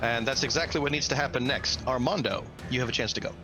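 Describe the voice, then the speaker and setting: A man's voice: medium pitch, fast. A man acting as game master, narrating a tabletop fantasy game with dramatic storytelling and vocal sound effects. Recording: loud music under the voice. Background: loud music.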